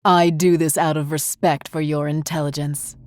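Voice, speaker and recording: A woman's voice: low-pitched, little pitch movement, moderate pace, a little mellow, a little hiss or hum, natural voice. Woman voicing a coldly formal undead alien agent. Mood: solemn, menacing. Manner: formal, grandiose speech.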